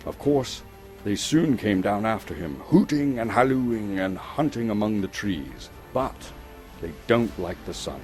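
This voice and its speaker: A man from Canada giving a dramatic, suspenseful storybook narration with theatrical, deliberate phrasing. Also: lowish voice, animated, a little mellow. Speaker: actor reading a story aloud. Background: music.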